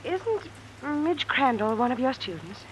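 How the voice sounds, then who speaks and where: A woman playing a gracious, composed professor's wife in a 1950s radio drama, politely domestic and quietly probing. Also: fairly high pitch, big pitch swings, a little slow. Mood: grave, probing. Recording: noisy vintage radio recording.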